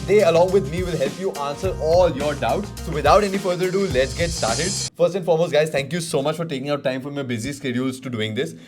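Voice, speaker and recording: A man's voice: fairly high pitch, fast talker, few pauses, music in the background. A young man hosting a student interview, talking energetically to viewers and guests. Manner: energetic, addresses viewers as "guys". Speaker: interviewer and video host. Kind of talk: upbeat talk to camera and interview.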